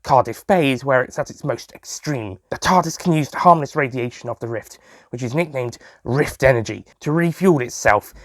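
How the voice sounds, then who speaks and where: A man's voice: mid-range voice, moderate pace, fairly bright tone, no room sound. A man reading scripted story narration. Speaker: writer and narrator.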